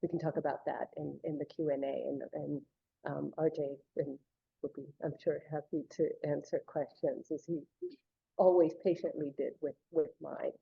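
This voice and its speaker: A woman academic speaking in a measured way over a video call, with some fillers. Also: low voice, fairly even intonation, vocal fry, breathy edge. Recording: dull lo-fi call audio.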